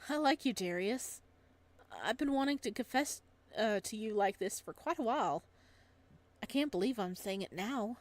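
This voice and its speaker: A woman's voice: on the high side, lively intonation, normal speed, darkish tone, natural voice. A shy young man in a visual novel, read out by a woman, hopelessly smitten. He speaks earnestly and gets flustered, tripping over his words. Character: shy, lovestruck college-age young man on summer vacation.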